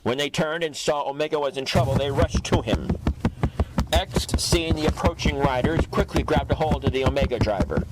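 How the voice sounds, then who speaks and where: A man's voice: a little high, normal speed, heavy background noise. A man reading scripted third-person narration for a dramatic superhero audio story.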